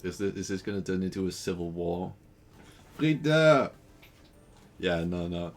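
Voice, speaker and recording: Low-voiced Dutch young man rambling. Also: unhurried, soft background music. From Netherlands. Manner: rambling, playful, laughs often. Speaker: game streamer. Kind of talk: casual gaming stream chat.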